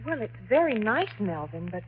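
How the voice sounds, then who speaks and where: An actress playing a prim, proper and easily offended young fiancée in an old-time radio drama. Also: female voice, medium pitch, big pitch swings, moderate pace, natural voice. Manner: proper, indignant, theatrical. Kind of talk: 1950s radio drama acting. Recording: muffled old radio recording, faint hiss.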